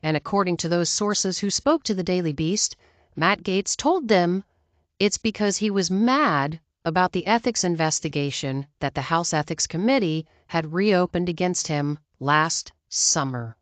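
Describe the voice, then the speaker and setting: Lower-pitched woman narrating news, dry sound. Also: animated, unhurried, dry recording. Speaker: news commentator. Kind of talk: scripted political news narration.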